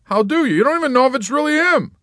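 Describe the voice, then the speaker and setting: A man voicing a sarcastic young soldier in a hospital bed who needles everyone with loud, mocking questions. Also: extremely high pitch, lively intonation, fast, no echo, clean studio sound, pitched above the performer's own voice, exaggerated voice. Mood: needling.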